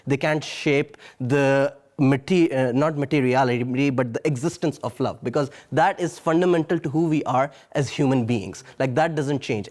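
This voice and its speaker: A man's voice: mid-range voice, darkish tone. A man speaking earnestly in a group discussion, with repeated, emphatic phrasing and frequent fillers. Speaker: student debater.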